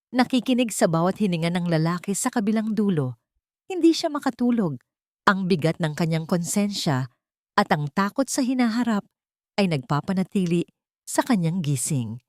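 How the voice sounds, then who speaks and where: A woman narrating a scripted love story in a measured, solemn, dramatic manner. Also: medium pitch, darkish tone, dry recording, clean studio sound.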